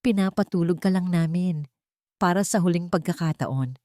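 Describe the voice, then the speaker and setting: Woman acting cold scheming female blackmailer, low voice. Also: a little mellow, no room sound, clean studio sound, lightly acted. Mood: cold menace. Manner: cold, deliberate, threatening. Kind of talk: audio drama acting.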